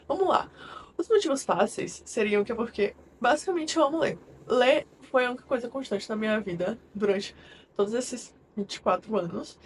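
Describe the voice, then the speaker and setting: A woman's voice: medium pitch, slight background noise. A woman in her twenties with a Brazilian accent, chatting casually and a little self-consciously to camera as a book vlogger. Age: 20s.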